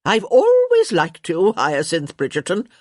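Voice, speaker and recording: A woman's voice: lowish voice, huge pitch swings, measured pace, dry recording, studio quality, full character voice. A woman playing a crotchety, imperious old countess, swooping grandly. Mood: brusque, dismissive. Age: elderly. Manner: grand, emphatic, sharp-tongued pronouncements.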